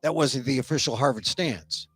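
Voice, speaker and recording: A man's voice: medium pitch, moderate pace, fairly bright tone, breathy edge, dry recording, faint music behind. A middle-aged man hosting a solo political commentary show, opinionated and a little sniffly, often repeating words as he starts a thought. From United States. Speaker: talk show host.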